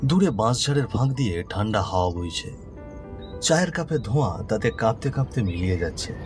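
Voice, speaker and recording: Man reading a spooky story, lowish voice, suspenseful, loud music and rain behind. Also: very animated, fast talker. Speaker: narrator. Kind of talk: scripted horror story narration.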